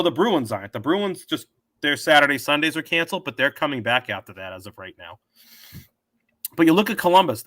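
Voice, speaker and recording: A hoarse man with a sore throat, rambling through his betting picks as a sports show host. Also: medium pitch, pushed and bright, no room sound. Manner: rambling, thinks aloud with many fillers and restarts. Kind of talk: casual betting picks talk. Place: home.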